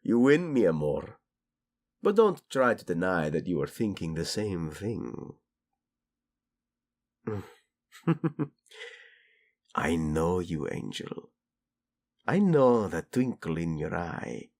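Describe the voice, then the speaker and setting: A man's voice: low-pitched, sing-song, takes it slowly, long pauses, darkish tone, full character voice. A man playing a flirtatious, doting prince and husband in a romantic audio roleplay, speaking intimately and expressively. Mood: teasing, flirtatious.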